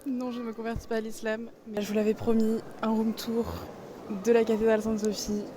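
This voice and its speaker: A woman's voice: level tone, brisk, music in the background. A woman casually chatting to camera as a travel vlogger, with wry, self-deprecating humor, in a noisy handheld recording. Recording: noisy handheld travel vlog, ambient vehicle rumble.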